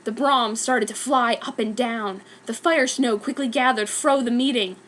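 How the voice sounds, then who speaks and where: A woman's voice: fairly high pitch, fairly even intonation, moderate pace, fairly bright tone, a little hiss or hum. A woman giving a dramatic, mock-serious reading of a scripted story as narrator. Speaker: reader of a fan story.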